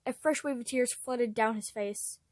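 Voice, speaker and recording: A woman's voice: a little high, normal speed, no room sound, studio quality. A woman reading fan fiction aloud as an amateur narrator, expressively and with acted dialogue.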